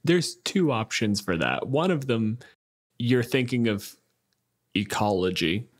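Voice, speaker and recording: A man's voice: mid-range voice, lively intonation, slow pace. A man from Canada co-hosting a podcast, explaining and bantering casually. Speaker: podcast co-host.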